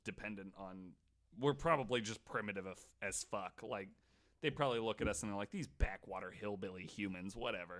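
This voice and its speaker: A man's voice: fairly low pitch, brisk, fairly bright tone. A man hosting a podcast in casual, joking chat, with a wry, geeky and freely swearing manner. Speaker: podcast host.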